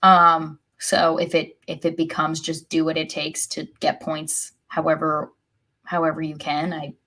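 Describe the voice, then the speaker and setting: A woman's voice: low-pitched, monotone, unhurried, sharp tone. A woman co-hosting a soccer podcast, chatting casually in a wry way. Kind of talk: casual sports chat.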